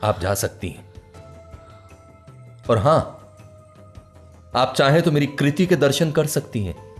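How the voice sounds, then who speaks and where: Radio drama part: aloof, poetic male author, medium pitch, courteous but stubborn, literary declamation. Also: animated, slow, soft background music. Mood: lofty dismissal. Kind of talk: Hindi radio play acting.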